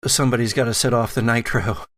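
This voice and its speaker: A man's voice: medium pitch, steady tone, fast, a bit bright, natural voice. A man voicing a wry, reluctant, loyal man in a tabletop game, speaking in a laconic, folksy, grudging way. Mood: wry resignation.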